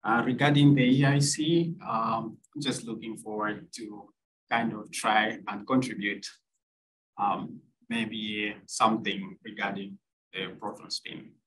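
A man's voice: lowish voice, slow, a bit bright, low-quality recording. A man from Zambia introducing himself on a video call, hesitant with many fillers. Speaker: summer school student. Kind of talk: self-introduction.

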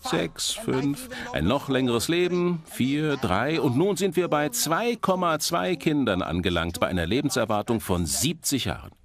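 A man's voice: mid-range voice, lively intonation, a little slow, few pauses. A man giving an explanatory, emphatic documentary lecture. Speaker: presenter lecturing with statistics. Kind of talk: documentary lecture voice-over in German dub.

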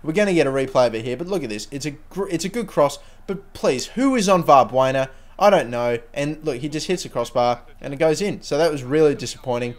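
Hoarse young man commentating a football game. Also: fairly high pitch, brisk, few pauses. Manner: rambling, excitable at goals, swears casually. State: sore throat, losing his voice. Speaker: gaming channel host. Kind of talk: casual gameplay commentary.

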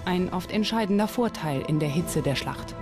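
A woman presenting a history documentary in scripted, clearly articulated and explanatory narration. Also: on the low side, animated, moderate pace, over loud music. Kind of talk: scripted documentary narration with on-location interview questions.

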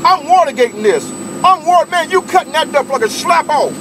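A man's voice: extremely high pitch, expressive, a little fast. A man bantering on CB radio in a teasing, repetitive, whooping manner. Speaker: CB radio operator. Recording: loud engine-like radio noise.

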